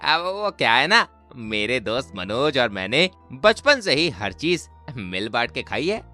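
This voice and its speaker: A man's voice: medium pitch, big pitch swings, unhurried, sharp tone, faint music behind. A man playing a leering drunken villain with a drawling, lecherous manner in a horror cartoon story. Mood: leering, gloating. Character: leering drunken male villain, sleazy friend of groom.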